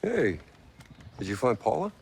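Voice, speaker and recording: A sheepish soldier with an offhand, casual manner. His pitch moves lively within a middle range. This is a video game cutscene. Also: moderate pace, gravelly, slightly rough, full character voice.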